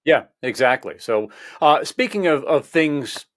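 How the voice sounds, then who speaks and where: A man hosting an interview, asking long, measured questions that frame the topic. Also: on the high side, expressive, a bit bright, no echo, clean studio sound. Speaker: interview host. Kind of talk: conversational interview.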